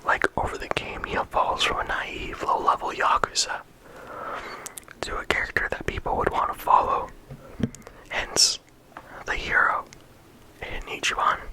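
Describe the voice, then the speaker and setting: An ASMR creator whispering softly throughout, talking casually with frequent ums and likes into a close microphone. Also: low-quality recording. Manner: soft unvoiced whisper throughout. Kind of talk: whispered ASMR game discussion.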